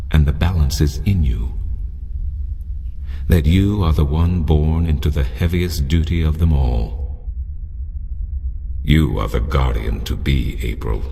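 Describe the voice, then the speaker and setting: A wise, ancient old mentor from an adventure game, slow and formal. His voice is extremely deep and gravelly, with a long reverb behind it. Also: warm tone, a little hiss or hum, heavily acted. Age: older. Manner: solemn, measured, formal.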